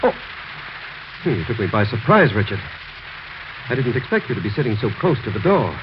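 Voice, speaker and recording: An actor playing a sober, skeptical doctor, reasoning and admonishing in 1940s radio drama style. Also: male voice, medium pitch, very animated, brisk, close to an everyday voice. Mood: uneasy concern. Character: sober, skeptical male doctor, concerned family friend. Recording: noisy, muffled 1940s radio recording.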